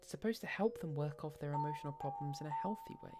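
A woman's voice: low-pitched, fast talker, loud music behind the voice, natural voice. A woman playing an exasperated, anxious wife and mother in a dramatic audio story.

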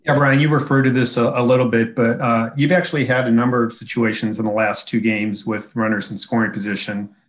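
Male reporter asking measured questions. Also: mid-range voice, level tone. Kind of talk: asking press conference questions.

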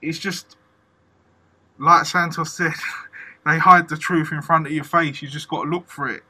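A man's voice: on the high side, fairly even intonation, a little slow, pushed and bright. A man hosting a casual interview, enthusiastic and chatty, using slang and many "like" fillers. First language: English. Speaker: podcast host.

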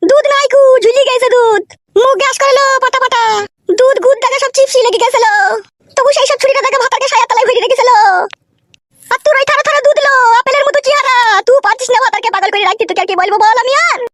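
A woman voicing a foul-mouthed, scolding village woman in a comedy cartoon, with a rural West Bengal accent and a pitched-up voice. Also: a bit bright. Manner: scolding, complaining.

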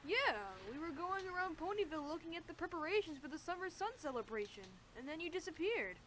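Small boy dragon sidekick for animation female performer: high, lively, teasing, childlike, with faint noise behind. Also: fast talker. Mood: puzzled, teasing. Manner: matter-of-fact, teasing, childlike. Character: cheeky little male baby dragon sidekick. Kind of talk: animated fan-series dialogue.

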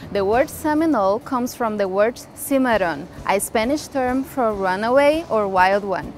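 A young woman from Brazil reading a history segment as a student reporter, clear and earnest. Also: on the high side, expressive, measured pace, a little hiss or hum. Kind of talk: scripted narration.

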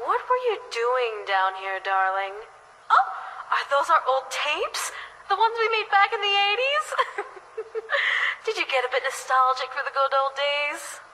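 A doting, unhinged woman from an anime-style video game. Her voice is very high and bright, cooing sugary affection with menace underneath. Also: sing-song, measured pace, exaggerated voice, rough recording. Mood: playful, teasing. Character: sweetly deranged, lovestruck female kidnapper doting on her captive.

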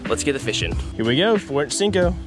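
A man's voice: fairly high pitch, sing-song, quick pace, over music. A young man, chatting upbeat to viewers while fishing from a small boat outdoors, recorded on an action camera. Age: young adult. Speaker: fishing vlogger. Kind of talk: casual vlog commentary.

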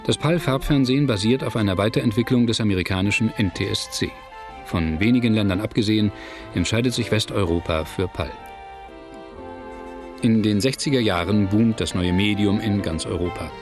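A man narrating a scripted documentary in a measured, factual manner. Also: lowish voice, music in the background. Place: studio voice-over, music behind.

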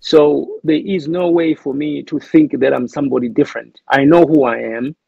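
A man's voice: mid-range voice, steady tone, normal speed, gravelly, warm tone, slightly rough, dry recording, highs cut off. A man with a South African accent, speaking as an interview guest in a thoughtful, hesitant way with many restarts. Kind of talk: reflective interview talk.

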